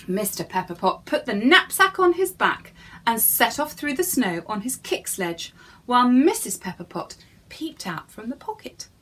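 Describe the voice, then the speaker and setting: A woman's voice: on the high side, sing-song, normal speed, pushed and bright, breathy edge. A woman reading a storybook to young children in a theatrical way, addressing her listeners directly.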